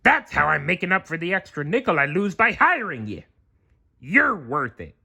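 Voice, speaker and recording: A blustery old cartoon crab boss, raised high and forceful. He is penny-pinching and emphatic, with big swings in pitch. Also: sing-song, normal speed, vocal fry, husky, full character voice. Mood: proud. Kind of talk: cartoon character voice in a storybook read-aloud.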